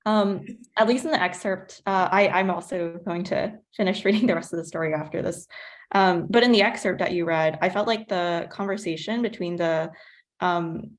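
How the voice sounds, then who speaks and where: A woman's voice: fairly low pitch, normal speed. A woman asking questions casually and politely, in a tentative way. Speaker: audience questioner.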